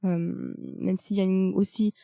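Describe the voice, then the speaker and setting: A young woman with a French accent talks as a guest, pausing often and filling gaps with little hesitations. Her voice is warm and mellow, recorded close and dry with no room sound. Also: mid-range voice, level tone, creaky, dry recording. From France. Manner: hesitant, many fillers. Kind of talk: casual conversation.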